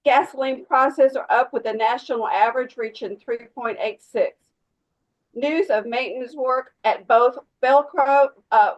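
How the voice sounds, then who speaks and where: A woman's voice: on the high side, muffled recording, lightly acted. A woman playing a radio news anchor reading a formal, informational market report in a script read.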